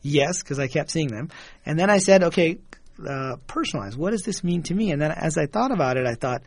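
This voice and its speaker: Thoughtful man with a higher voice. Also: animated, a little fast, no room sound. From Michigan, USA. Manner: thoughtful, chuckles often, repeats words when starting. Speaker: guest, author and engineer. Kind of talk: reflective conversational storytelling.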